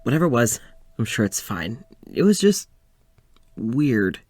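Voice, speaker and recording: A man playing an uneasy, weary scientist in an audio drama, confiding to a recorder in a halting way. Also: mid-range voice, sing-song, a little mellow, husky, no room sound. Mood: weary, troubled. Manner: hesitant, halting, sighing. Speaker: fiction podcast lead actor. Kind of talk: audio drama, confessional monologue.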